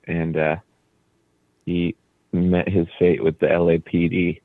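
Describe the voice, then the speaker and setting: Low-voiced man with tinny phone-like sound. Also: takes it slowly, no echo. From Croatia. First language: Croatian. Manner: relaxed, explains at length with fillers. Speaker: band leader and studio guest. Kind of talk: casual radio interview. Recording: dry, phone-like narrow sound.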